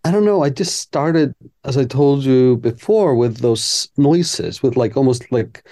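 Man, composer guest; warm, relaxed tone, candid and reflective, talks things through as he goes. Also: medium pitch, measured pace. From Iceland. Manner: candid, reflective, thinks aloud. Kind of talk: casual interview chat.

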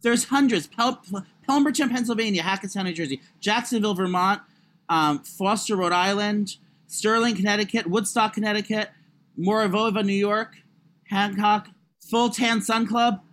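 A man with a high voice chatting as a podcast co-host, crude, rambling and self-mocking. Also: moderate pace, fairly bright tone, a little hiss or hum. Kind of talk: casual chat.

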